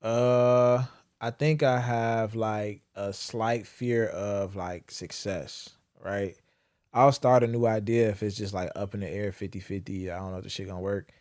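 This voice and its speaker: Young guy on a podcast, lowish voice, swears a lot, dry mic. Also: fairly even intonation, a little slow, a little mellow, no room sound. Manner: candid, profane, reflective storytelling. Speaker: podcast host. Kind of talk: casual chat.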